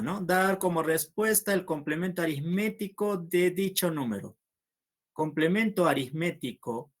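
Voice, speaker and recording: Male math teacher, unhurried and patient, talks students through each step. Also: on the high side. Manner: slow and patient, checks understanding with "¿no?". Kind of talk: online math class, solving problems aloud.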